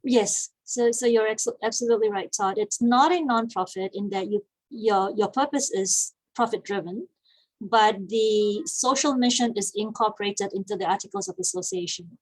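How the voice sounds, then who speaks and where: A woman's voice: fairly high pitch, normal speed, vocal fry, no room sound, poor audio. A woman in her forties from Malaysia, a guest talking casually in an interview, chatty and laughing easily. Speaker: guest, business founder.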